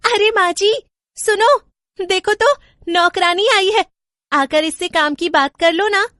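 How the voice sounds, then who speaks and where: Woman as young daughter-in-law, excitable, exclaiming, very high pitch, horror storybook, dry recording. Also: unhurried, no echo, exaggerated voice. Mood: delighted, excited. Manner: exclaiming, emotive.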